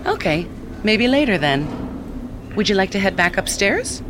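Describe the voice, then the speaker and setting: Calm, polite AI guide voice played by an actor. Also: medium pitch, noisy background, close to an everyday voice. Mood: calm, instructive. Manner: polite, measured, instructive. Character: calm, helpful AI seeing-eye app assistant. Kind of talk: audio fiction acting, synthetic assistant delivery.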